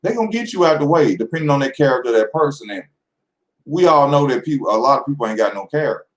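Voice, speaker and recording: A man in his twenties chats to his audience in a loose, rambling way, full of slang and asides. His voice sits at an ordinary pitch for a man. The room around him adds a clear echo. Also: animated, fast talker. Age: 20s. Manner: loose, rambling and slangy, addressing viewers directly. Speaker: boxing channel host. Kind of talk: casual vlog monologue. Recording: echoey room.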